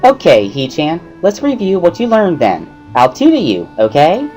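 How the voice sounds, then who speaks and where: Casting: male reader as perky, sing-song schoolgirl for a game story, very high and lively. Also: normal speed, soft background music. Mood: earnest, perky. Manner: exclamatory, gushing, sing-song. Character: cheerful, bubbly, excitable teenage schoolgirl. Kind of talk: dramatic reading of a visual novel.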